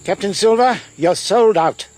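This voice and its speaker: A man playing a proper, resolute gentleman doctor in a period audio adventure, speaking formally and precisely. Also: high voice, a little slow, soft background music. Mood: firm negotiating.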